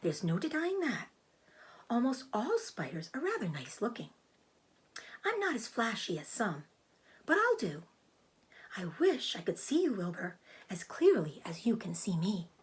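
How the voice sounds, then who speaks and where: A woman voicing a proud, clever female spider in a storybook, with a thin, breathy voice and a genteel, self-assured, explanatory manner. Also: medium pitch, huge pitch swings, measured pace, long pauses, full character voice. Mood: pleasant, self-assured. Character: clever, proud, matter-of-fact female spider, bloodthirsty trapper.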